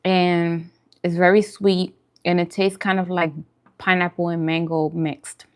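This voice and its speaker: A woman's voice: on the low side, little pitch movement, measured pace, clear, resonant tone. A woman chatting casually and in a friendly way as she hosts a food livestream, answering viewers' comments.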